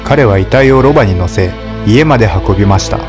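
Man narrating a fairy tale, steady, measured, low voice, clear music underneath. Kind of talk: scripted storybook narration.

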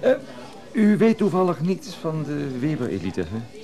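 An actor playing a prying, condescending male antique dealer in a radio drama, formal and insinuating, with hesitant pauses. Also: on the high side, sing-song, mellow. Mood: prying, feigned politeness. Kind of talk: radio drama acting, dialogue.

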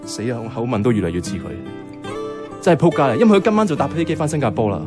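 Actor as quick, animated young Hong Kong man. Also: medium pitch, natural voice. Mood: frustrated, defeated. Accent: Hong Kong Cantonese. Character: pragmatic young man, hesitant in love, dryly exasperated. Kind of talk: radio drama dialogue with some narration. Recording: music under the voice.

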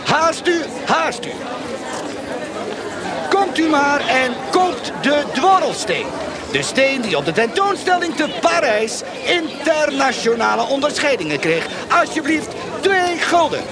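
An actor in a radio play as a grandiose, sly market quack, declaiming a sales pitch and repeating words for effect. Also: male voice, very animated, measured pace, noisy background, heavily acted. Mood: grandiose, coaxing. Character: fast-patter market quack hawking miracle stones, grandiose and sly. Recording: busy outdoor crowd behind.

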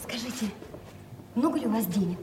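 An actress playing a kind, earnest young woman in a theatrical audio play, speaking politely and gently. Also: on the high side, expressive, fairly quick, natural voice. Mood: polite, concerned. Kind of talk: theatrical stage dialogue. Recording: echoey hall with faint noise.

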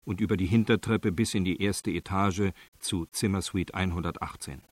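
An actor serving as a sober, factual narrator in a radio crime drama, reading scripted documentary-like narration. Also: male voice, sits low, steady tone, normal speed, husky.

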